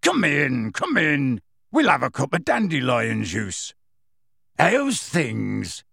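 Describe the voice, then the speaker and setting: A man acts out a huge, big-hearted groundskeeper from a storybook. The voice is gruff and gravelly, with halting, homely phrasing and a lively swing in pitch. It is recorded close and dry. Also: no room sound, heavily acted. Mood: hearty, concerned. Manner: halting, folksy, colloquial.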